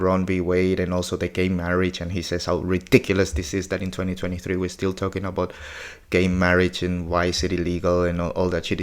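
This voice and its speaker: A man's voice: sits low, clear, resonant tone. A man in his forties recapping a podcast episode in a casual, blunt, opinionated way, swearing often. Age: 40s.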